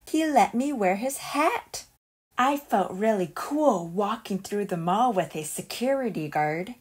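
A woman reading a children's picture book aloud as a storyteller, in an expressive and deliberate way. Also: medium pitch.

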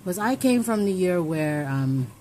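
A woman's voice: lowish voice, expressive, normal speed, warm tone, slight background noise, low-quality recording. A young woman from Hong Kong talking casually at home in a personal vlog, rambling and restarting her sentences as she thinks aloud. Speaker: vlogger. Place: home, indoors.

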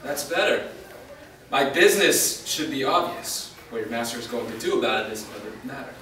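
A man's voice: medium pitch, animated, fairly quick, pushed and bright. A man playing a confident, blunt half-vampire hunter in a staged play reading, terse and challenging. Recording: echoey room, far mic, faint noise.

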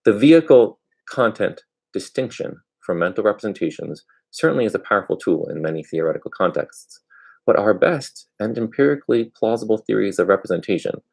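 A man in his thirties with a gravelly, husky voice, reading aloud from an academic book in a halting way, sometimes correcting himself. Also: lowish voice, lively intonation, a little mellow. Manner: halting, with misreadings and self-corrections. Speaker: amateur book reader. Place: furnished room at home, indoors.

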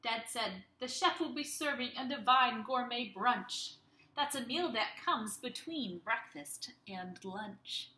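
A young woman teacher reading a storybook aloud to young children in an animated, expressive way, speaking to them directly. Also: a little high, slow. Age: young adult. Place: small room at home. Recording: roomy, echoey home webcam sound.

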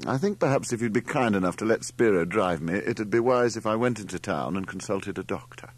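A man voicing a timid but self-important middle-aged gentleman in an audiobook, speaking with hesitant, dramatic politeness. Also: on the low side, animated, fairly quick, husky. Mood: feebly gallant.

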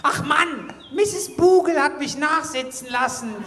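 A man voicing an excited, squealing boy in a comic children's radio play, performed live on stage. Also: extremely high pitch, a little slow, exaggerated voice. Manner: squealing, loud. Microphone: handheld microphone. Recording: live stage with audience noise.

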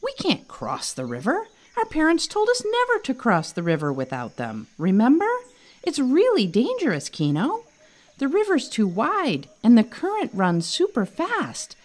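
Woman playing a cautious young elephant, very animated. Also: high-pitched, moderate pace, pitched above the performer's own voice, heavily acted. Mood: worried. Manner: earnest, cautioning. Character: wise, cautious young male elephant, responsible worrier. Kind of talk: storybook character voice.

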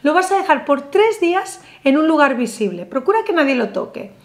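A woman, teaching simple rituals to the camera, animated and emphatic, slowing down for affirmations. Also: sits high. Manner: animated, gestures a lot, slow emphatic affirmations. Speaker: spiritual ritual vlogger. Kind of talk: instructional ritual talk to camera. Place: small indoor room with a painted backdrop.

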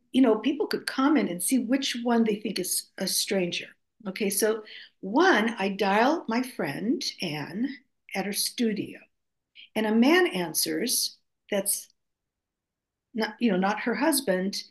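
A woman's voice: mid-range voice, lively intonation, slow. An older woman whose first language is Greek, speaking as an author guest over a video call, telling stories in a reflective way with many restarts. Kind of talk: conversational storytelling. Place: home room, video call.

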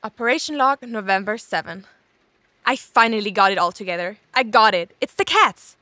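An actress playing a scatterbrained, sarcastic investigator who rambles and interrupts herself in an audio drama log. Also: female voice, medium pitch, lively intonation, moderate pace, sharp tone. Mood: scattered, musing.

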